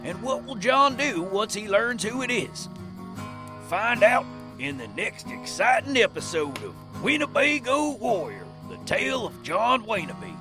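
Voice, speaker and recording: A man's voice: high-pitched, very animated, a little slow. A man narrating a Western tale in a folksy, dramatic storyteller manner over guitar music.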